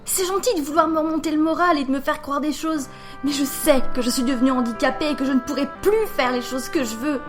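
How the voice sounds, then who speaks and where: A woman's voice: sits high, fast, over music, lightly acted. An actress playing a bitter, depressed seventeen-year-old girl who has lost her sight, in an amateur audio drama. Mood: bitter, dejected.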